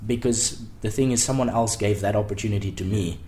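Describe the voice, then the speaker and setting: Young man, deep voice, mellow tone, casual rambling podcast talk, many ums. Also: a little fast. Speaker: podcast guest, startup founder. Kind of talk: casual interview chat.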